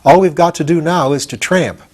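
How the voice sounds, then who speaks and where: A man's voice: a little high, normal speed, a little mellow, voice pushed up, natural voice. A man voicing a confident, adventurous boy who explains things eagerly in a storybook reading. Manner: eager, matter-of-fact explaining.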